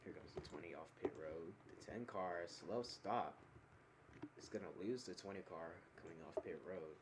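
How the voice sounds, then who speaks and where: A man giving play-by-play commentary on an online sim racing event, calling the on-track action quickly and correcting himself as he goes. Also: low-pitched, very noisy. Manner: rapid calls of on-track action, talking himself through corrections. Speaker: race commentator.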